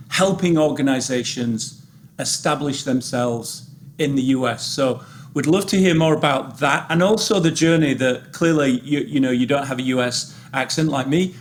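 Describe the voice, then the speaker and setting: A man in his fifties hosting a podcast, talking in a rambling, conversational way with many restarts. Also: mid-range voice. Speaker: podcast host. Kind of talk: podcast interview.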